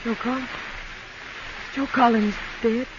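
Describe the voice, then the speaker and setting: A woman's voice: fairly high pitch, steady tone, takes it slowly. An actress playing a world-weary, guarded nightclub woman, terse and wistful, in 1950s radio drama style. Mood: stunned. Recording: muffled old radio recording, faint noise.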